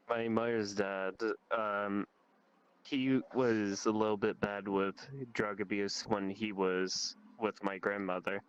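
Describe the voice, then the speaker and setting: Slow, smooth, low-voiced man chatting. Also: level tone, muffled recording. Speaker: host. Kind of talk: casual chat. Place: online VR voice chat.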